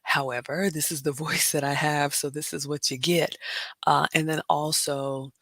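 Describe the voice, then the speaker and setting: A woman with a low, raspy, smoky voice, chatting casually on a livestream and reading out viewer comments. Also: steady tone, normal speed, a bit bright, no echo. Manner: chatty, says "y'all" and "you all" often. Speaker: livestream host.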